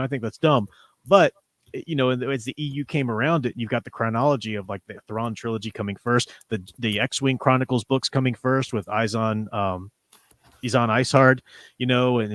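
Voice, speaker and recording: A man's voice: medium pitch, no echo. A man hosting a live stream, talking casually in an enthusiastic, opinionated, rambling way and reading out viewer comments.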